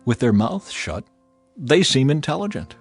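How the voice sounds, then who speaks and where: Expressive man reading scripture over music. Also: medium pitch, unhurried, slightly rough. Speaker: audio Bible narrator.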